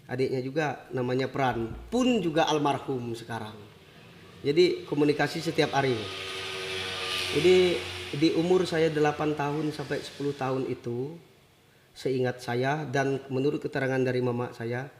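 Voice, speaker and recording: A man's voice: mid-range voice, normal speed. A man with a West Kalimantan Indonesian accent and a local first language, telling childhood stories casually as an interview guest. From rural West Kalimantan, Indonesia. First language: a local language of rural West Kalimantan. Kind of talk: casual storytelling about childhood. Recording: noticeable background noise.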